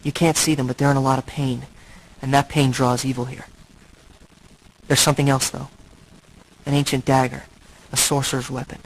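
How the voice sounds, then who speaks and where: Man playing a brooding, gravelly old-souled vampire. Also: mid-range voice, flat delivery, normal speed, pauses often, lightly acted, rough recording. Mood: grave, ominous. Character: brooding centuries-old male vampire, tormented and noble. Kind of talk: earnest video game voice acting.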